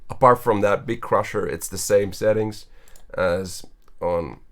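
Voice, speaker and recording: A young man with a naturally low voice talks through his vocal mix in a relaxed, rambling way. He fills pauses with "um" and "uh" as he explains his plugin settings. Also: slight background noise. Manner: casual, rambling, with filler words and laughs. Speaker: singer and mixing engineer.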